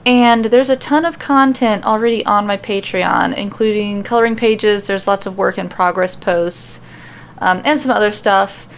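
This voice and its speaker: A woman's voice: medium pitch, moderate pace, a little hiss or hum. A woman hosting a podcast, informal and self-deprecating, who talks casually and reads out fan fiction. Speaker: podcast host and story reader.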